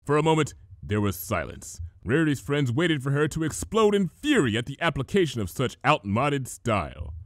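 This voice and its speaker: A man narrating a comic fantasy story as an expressive reader. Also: medium pitch, moderate pace, hardly any pauses, dry recording, clean studio sound. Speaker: fan fiction reader.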